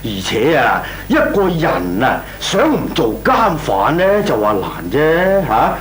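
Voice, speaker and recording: A male actor playing a shrewd, mocking company boss in a comic radio drama, with a Hong Kong accent, drawn-out interjections and feigned bafflement. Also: medium pitch, big pitch swings, fairly bright tone, slight background noise.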